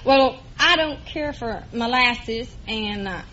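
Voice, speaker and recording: A woman's voice: fairly high pitch, expressive, takes it slowly, pushed and bright. A folksy, plain-spoken woman from rural Arkansas with a Southern American accent, chatting as a contestant on an old radio quiz show. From rural Arkansas, United States. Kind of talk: casual interview banter. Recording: muffled old radio recording, noisy audience.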